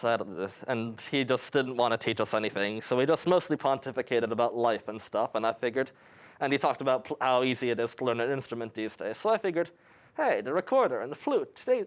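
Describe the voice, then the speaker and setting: A young man from New York, a student guest in a campus TV studio, answering with dry, mock-grandiose humor. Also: mid-range voice. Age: young adult. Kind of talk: tongue-in-cheek interview answers.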